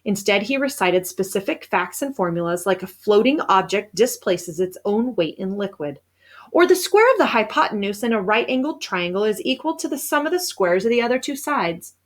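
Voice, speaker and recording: A woman reading a children's novel aloud as scripted narration, with expressive storytelling emphasis. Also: mid-range voice, moderate pace. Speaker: storybook reader.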